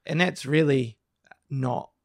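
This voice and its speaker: Croaky, gravelly man chatting on a podcast. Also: medium pitch, slow pace, dry recording, clean studio sound. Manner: talkative, explains at length with many restarts. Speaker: podcast co-host. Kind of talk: casual discussion.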